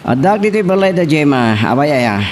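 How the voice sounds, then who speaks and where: A man's voice: fairly high pitch, sing-song, normal speed, a little hiss or hum. A man with an Ilocano accent playing a casual, evasive young man in an amateur audio drama. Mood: hesitant, casual. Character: easygoing, evasive young man, a son and boyfriend.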